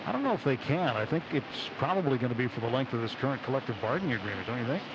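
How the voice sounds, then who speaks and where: A man's voice: mid-range voice, very animated, very fast. A man giving conversational baseball commentary as a radio co-commentator. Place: open broadcast booth at outdoor ballpark. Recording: muffled radio broadcast, loud outdoor noise. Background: loud stadium ambience.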